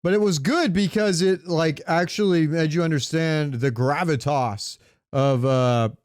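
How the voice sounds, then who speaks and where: A man's voice: a little high, a little slow, dry recording, clean studio sound. A man co-hosting a podcast, chatting casually and informally, with swearing thrown in. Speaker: podcast co-host. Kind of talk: casual banter about films and pop culture.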